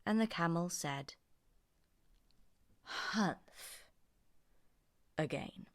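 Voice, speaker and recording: Brief: female storybook narrator, slightly low pitch, very animated, unhurried, close dry sound. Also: no room sound. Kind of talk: expressive scripted children's story narration.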